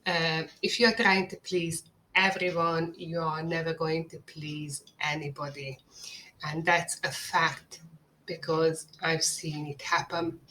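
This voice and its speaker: A woman with a Hungarian accent presents a webinar, taking her time over each phrase. Her voice sits on the lower side, and she sounds earnest and persuasive, like a pitch she has rehearsed. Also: flat delivery, bright, forceful tone, a little hiss or hum, rough recording. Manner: earnest, measured, salesy. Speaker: marketing agency owner presenting a webinar.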